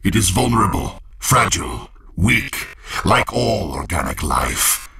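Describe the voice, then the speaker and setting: An actor playing an imperious machine overlord speaking through a possessed body in a video game, harsh and disdainful in a declamatory style. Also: male voice, low voice, sing-song, measured pace, sharp tone, full character voice. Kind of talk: dramatic video game acting.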